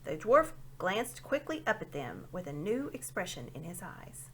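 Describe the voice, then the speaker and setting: Animated, bright-voiced woman reading a story. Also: on the low side, normal speed, breathy edge, rough recording. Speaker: storybook reader. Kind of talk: expressive scripted read-aloud narration.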